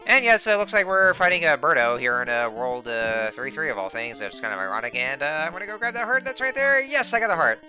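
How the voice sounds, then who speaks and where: A hoarse young man giving casual, rambling commentary while playing a video game, full of fillers and restarts. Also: on the high side, expressive, fast, hardly any pauses. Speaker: gameplay commentator. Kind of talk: casual let's play commentary. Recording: game music playing behind the voice.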